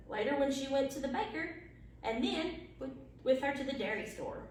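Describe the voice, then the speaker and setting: Lady storyteller reading a bedtime tale, really animated, far from the mic w lots of echo. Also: high-pitched. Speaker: library storyteller. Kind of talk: bedtime story read aloud. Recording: very echoey, distant, faint background noise.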